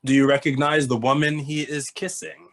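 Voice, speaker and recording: A man playing a smart, measured ghost detective in an animated comedy table read. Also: on the high side, slight background noise. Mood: confident, explaining.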